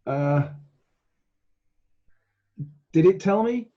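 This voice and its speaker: A man's voice: high-pitched, expressive, very slow, dark tone. A man chatting casually as a player in a tabletop game, recorded through a muffled microphone. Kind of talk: casual group discussion.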